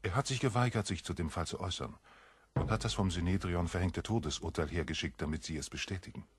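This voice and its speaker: A man voicing a dutiful Roman secretary in an audiobook, formal and deferential in a brisk report. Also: low voice, slight background noise, close to an everyday voice.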